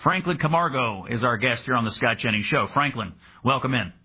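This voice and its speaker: A man's voice: medium pitch, expressive, brisk, slightly rough. A man with an American accent hosting a talk radio show and introducing a guest. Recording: dull radio sound with music underneath.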